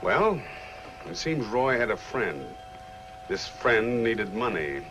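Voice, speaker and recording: An actor playing a calm, shrewd private detective in a 1950s film noir, with an unhurried, wry delivery. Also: male voice, medium pitch, music in the background. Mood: matter-of-fact. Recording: noisy old film soundtrack.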